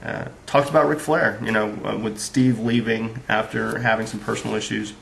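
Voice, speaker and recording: Male reviewer, casual and rambling, flat monotone delivery, faint hiss behind. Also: lowish voice, moderate pace, gravelly, low-quality recording. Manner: rambling, heavy with fillers. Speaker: book reviewer. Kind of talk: casual spoken book review.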